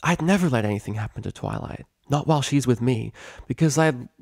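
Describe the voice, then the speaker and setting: A man's voice: mid-range voice, expressive, brisk, creaky, darkish tone, no echo, clean studio sound, voice pushed up. A man voicing a brash, loyal young female pegasus pony in a story reading. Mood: defiant.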